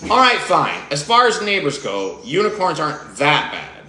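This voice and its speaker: Grumpy jealous goblin, indignant, complaining, lively bright voice, echoey room, read by a man. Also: on the high side, normal speed, a little hiss or hum, full character voice. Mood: grudging. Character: grumpy jealous male goblin, fussy and proud.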